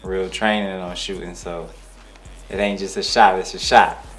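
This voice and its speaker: A young man from Detroit, a rapper being interviewed, talking in a relaxed, rambling way with slang and restarts. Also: low-pitched, moderate pace, faint music behind. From Detroit, USA. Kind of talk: casual interview.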